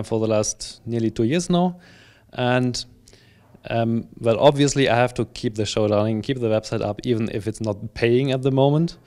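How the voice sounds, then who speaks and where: A man in his thirties giving relaxed, measured interview answers into a handheld mic. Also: mid-range voice. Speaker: website steward, interviewee.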